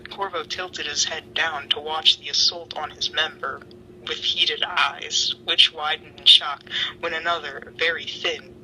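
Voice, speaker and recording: A woman's voice: deep voice, steady tone, measured pace, sharp tone, a little hiss or hum, rough recording. A young woman reading fan fiction dramatically and chatting in slang with friends.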